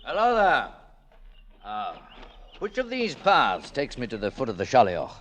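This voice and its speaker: An actor playing a determined, philosophical middle-aged mountaineer in a 1940s radio drama, speaking in a cool, matter-of-fact way. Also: male voice, medium pitch, huge pitch swings, measured pace, a bit bright. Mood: cool, resolute.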